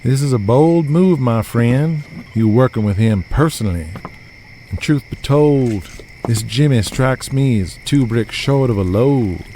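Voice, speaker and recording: A man's voice: medium pitch, a little slow, mellow, slight background noise, voice pushed up, close to an everyday voice. A man playing a folksy, teasing country wrestling manager with a Southern US accent and a drawling, colorful way of talking, in an audio drama. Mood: wary, cautioning. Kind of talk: audio drama character acting.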